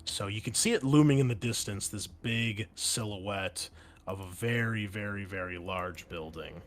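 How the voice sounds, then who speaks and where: Low-voiced man running a tabletop game. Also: unhurried, slight background noise. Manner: loose, rambling with many fillers. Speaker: game master.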